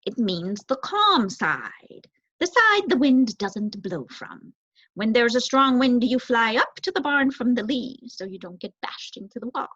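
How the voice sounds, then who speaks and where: A woman voicing an eager, impatient young male crow in a children's storybook, brisk and emphatic. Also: a little high, sing-song, a bit bright, breathy edge, no echo, muffled recording, heavily acted. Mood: knowing. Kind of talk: children's storybook read aloud.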